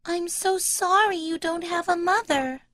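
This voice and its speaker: A woman voicing a sweet, curious, helpful little girl in an animated children's story, with an inquisitive, sympathetic manner. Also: very high-pitched, measured pace, no room sound, clean studio sound, exaggerated voice.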